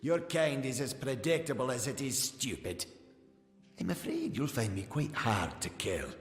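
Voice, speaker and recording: An actor playing a smug, menacing gnome scholar villain in a fantasy game, with a condescending, taunting, theatrical manner. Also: male voice, medium pitch, big pitch swings, normal speed, lightly acted. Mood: mocking, threatening. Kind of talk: scripted fantasy game dialogue. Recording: echoey hall reverb, music behind.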